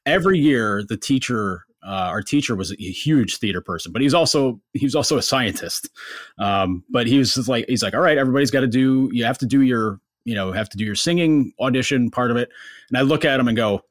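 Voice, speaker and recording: A man with a bass voice, a guest on a podcast, telling stories in a casual, self-deprecating way. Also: expressive, a little fast, dry recording, clean studio sound. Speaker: podcast guest, sports writer.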